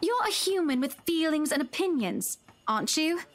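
An actress playing a wealthy, teasing, sharp-tongued young wife in a visual novel, chatty and pointed. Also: female voice, high-pitched, animated, moderate pace. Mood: indignant.

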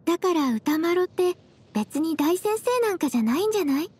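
A woman playing a composed, quietly teasing young female traveler in an anime game, speaking politely and matter-of-factly. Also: very high-pitched, expressive, fairly quick, faint music behind. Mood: curious, doubting.

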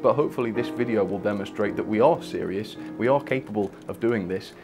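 Man playing low-voiced earnest designer over music. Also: brisk, lightly acted. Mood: earnest, hopeful. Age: young adult. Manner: informal, modest, explanatory. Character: earnest, chatty male lead designer in spoof interview. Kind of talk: mock documentary interview. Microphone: lapel mic.

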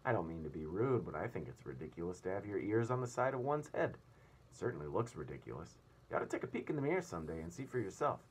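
A man's voice: low voice, sing-song, fast, heavily acted. A man voicing a scornful, grinning centipede in a children's storybook, with a cheeky, mocking manner. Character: scornful, grinning centipede who mocks others. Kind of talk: children's storybook read-aloud. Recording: clear room noise.

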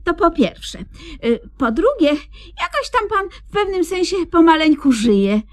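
A woman's voice: very high voice, very animated, measured pace, dry recording, clean studio sound, full character voice. An actress playing a devoted, naive, fussing woman in a comedy radio play, speaking in a hesitant, coaxing, earnest way. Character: devoted, fussing, naive woman doting on her grumpy friend.

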